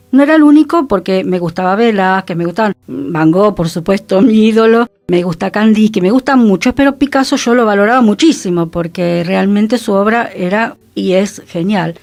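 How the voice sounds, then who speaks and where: Reflective Argentine woman, fairly high voice. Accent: Argentine, Buenos Aires. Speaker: radio show contributor. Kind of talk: reflective spoken radio commentary.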